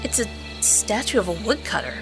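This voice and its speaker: A woman's voice: medium pitch, big pitch swings, brisk, music in the background. A woman playing a plucky, sarcastic young farm girl in an audio drama, wry, blunt and exasperated.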